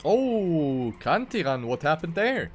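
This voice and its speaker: A man's voice: on the high side, big pitch swings, slow, a little hiss or hum. A man doing live commentary on an esports racing game as one of the casters. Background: music.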